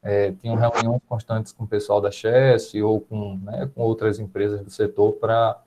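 A man's voice: fairly low pitch, flat delivery, measured pace, mellow, slight background noise. A man in his thirties with a Northeastern Brazilian accent, chatting informally and agreeably as the host of a video call. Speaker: host, interviewer. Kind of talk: casual conversation. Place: small indoor room, video call. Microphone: earphones on video call.

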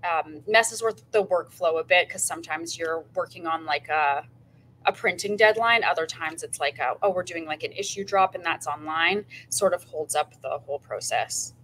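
A woman's voice: lowish voice, normal speed, fairly bright tone, a little hiss or hum, low-quality recording. A young woman, a magazine editor on a panel, giving practical dos and don'ts with frequent ums, in a meeting room heard from a camera across the room. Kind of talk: panel advice talk.

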